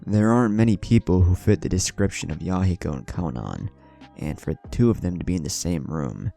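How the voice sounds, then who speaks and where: A cunning young male ninja, voiced by a narrator, cocky and taunting in a storybook reading. The voice is low and mellow with lively swings in pitch, over soft music. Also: a little fast, vocal fry. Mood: confident.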